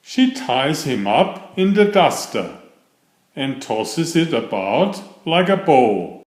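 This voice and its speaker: Slow, lively male storyteller in a roomy space. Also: medium pitch. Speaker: storyteller reading children's picture books. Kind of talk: scripted children's story narration. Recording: roomy echo on the voice.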